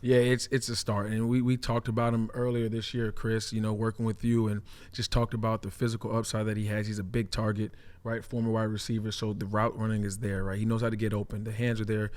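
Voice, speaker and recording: Fast-talking man with a level delivery. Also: on the low side, monotone, a little mellow. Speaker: sports show co-host and former pro football player. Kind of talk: sports analysis and conversational questioning.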